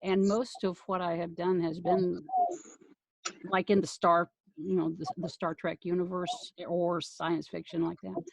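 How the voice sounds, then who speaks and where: A woman who does amateur voice acting, chatting casually on a live stream in a halting, thinking-aloud way. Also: lowish voice, little pitch movement, vocal fry, poor audio. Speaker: volunteer audio drama voice actor.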